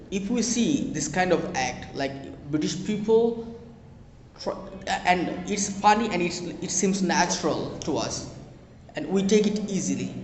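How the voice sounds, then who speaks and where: A young Bangladeshi man, a native Bengali speaker, gives earnest advice to English learners, repeating phrases and pausing with fillers. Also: sits high, a little mellow, a little hiss or hum. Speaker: spoken English teacher. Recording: echoey room with long reverb.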